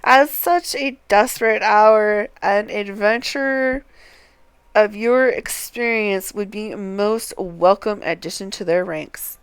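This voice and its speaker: Slow, clear woman streamer reading aloud. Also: medium pitch, no echo. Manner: deliberate, halting when reading. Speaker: game streamer. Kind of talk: reading game dialogue aloud, casual livestream commentary.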